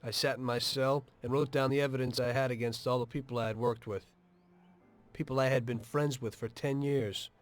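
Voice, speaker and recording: A man's voice: mid-range voice, fairly even intonation, close to an everyday voice. An actor with an American accent playing a weary, matter-of-fact ex-mobster who tells his story in a video game. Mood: reflective. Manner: measured, plain-spoken, understated. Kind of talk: dramatic video game dialogue and narration.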